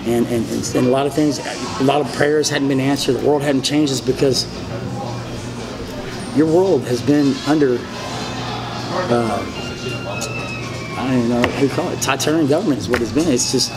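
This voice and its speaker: A man's voice: mid-range voice, normal speed, pauses often, music in the background, low-quality recording. A man in his forties telling his story as an interview guest, rambling, emphatic and repetitive, gesturing broadly as he talks. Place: indoor restaurant booth.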